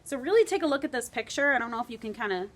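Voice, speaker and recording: A woman's voice: a little high, sing-song, rapid-fire. Woman teacher reading to young kids. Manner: warm, encouraging teacher talk. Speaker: first-grade teacher. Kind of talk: reading a story aloud to children.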